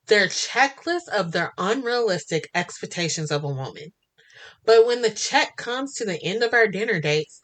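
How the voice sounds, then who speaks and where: A woman narrating a first-person romance story in an expressive, flirty and sassy way. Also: mid-range voice. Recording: music bed under the voice. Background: music.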